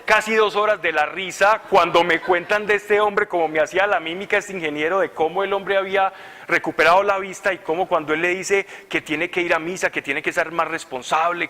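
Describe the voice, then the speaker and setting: A man preaching in an animated, storytelling way, with a forceful, bright voice set a bit high. He sounds like he is at a retreat, and his voice rings out with a long echo across a large hall. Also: fairly quick. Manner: animated, conversational, laughing at his own stories. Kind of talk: sermon with storytelling.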